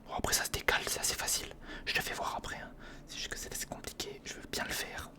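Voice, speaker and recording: Whispering man, ASMR build commentary. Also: fast talker. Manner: murmurs to himself while thinking through steps; informal, chummy address to the viewer. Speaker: ASMR video host.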